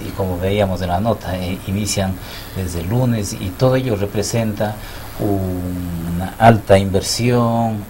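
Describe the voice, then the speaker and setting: A man's voice: sits low, a little mellow, a little hiss or hum. A middle-aged man hosting a local TV interview in a small studio, asking long, formal questions with filler sounds. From Ecuador. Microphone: desk mic on stand.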